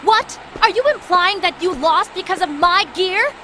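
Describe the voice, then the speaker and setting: A woman's voice: very high voice, moderate pace, bright, forceful tone, slight background noise. A woman voicing a smug, mocking young female swallow mechanic for a video game cutscene, with game music behind. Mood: scornful. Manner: mocking, haughty.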